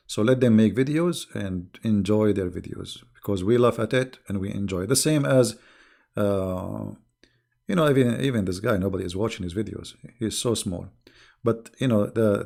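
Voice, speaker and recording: Gravelly man mocking his critics. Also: on the low side. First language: Arabic. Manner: animated, mocking, scornful. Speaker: online religious debater. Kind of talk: casual monologue to viewers.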